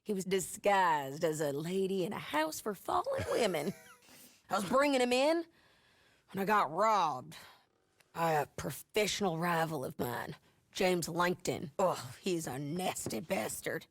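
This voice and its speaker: A woman's voice: fairly low pitch, big pitch swings, unhurried, no room sound, full character voice. A woman playing a hardened frontier bounty hunter in a video game, with a dry, clipped, matter-of-fact way of talking. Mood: gruff. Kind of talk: video game cutscene acting. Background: game music and horse hooves.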